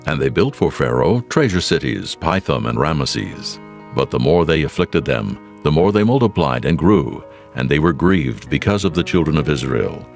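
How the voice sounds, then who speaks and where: Very deep, gravelly male narrator. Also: animated, a little mellow, soft background music. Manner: solemn, deliberate. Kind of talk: dramatized scripture narration.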